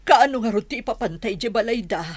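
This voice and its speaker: A worried middle-aged mother in a radio drama. Her Ilocano-accented voice is fairly high, fretful and full of swooping pitch. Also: animated, fast. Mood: anxious warning. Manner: hesitant, fretful. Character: anxious, cautious middle-aged mother and wife.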